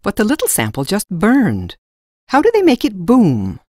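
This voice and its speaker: A woman's voice: medium pitch, huge pitch swings, moderate pace, a little mellow, dry recording, clean studio sound. A woman playing a protective, earnest mage in an audiobook drama, speaking firmly and expressively. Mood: earnest concern.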